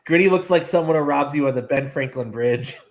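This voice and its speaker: A man's voice: medium pitch, a little fast. A man giving joking opinions in casual sports banter as a panelist on a talk show.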